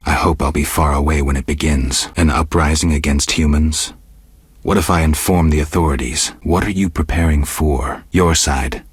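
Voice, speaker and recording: A gruff, sardonic mutant monster hunter from a video game, speaking in an extremely low, heavily rasping voice. He is terse and deadpan, with dry cynicism, and the sound is close and very dry. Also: no echo, exaggerated voice. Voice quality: gravelly, husky. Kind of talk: video game character dialogue.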